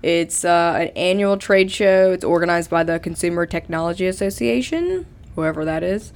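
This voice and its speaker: Chatty low-voiced radio co-host woman. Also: animated, moderate pace. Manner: chatty, rambling with fillers. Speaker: radio co-host, trends segment. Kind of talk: casual chat, then segment presenting.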